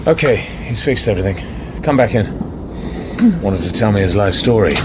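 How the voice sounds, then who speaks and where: A man's voice: expressive, normal speed, lightly acted. A man playing a cool, guarded secret agent in a radio drama, speaking in a terse, controlled way. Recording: noisy radio drama, train rumble behind.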